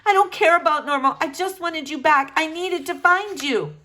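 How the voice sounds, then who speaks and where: A woman's voice: extremely high pitch, normal speed, sharp tone, pitched above the performer's own voice. A woman voicing an emotional, loving mother in a children's storybook, pleading and fervent.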